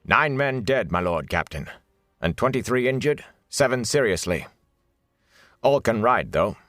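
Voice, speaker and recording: A man's voice: mid-range voice, a little slow, no echo, studio quality, faint music behind, pitched above the performer's own voice. A man voicing a hard, grating, rigid soldier. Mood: stiff, emotionless. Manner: flat, clipped military delivery. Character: gaunt, rigid, hard-bitten soldier reporting to his captain.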